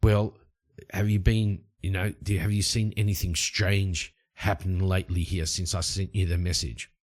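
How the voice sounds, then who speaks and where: Terse male adventurer voiced by a storyteller, low-key and questioning, pitched down, all business. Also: level tone, moderate pace, natural voice. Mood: businesslike.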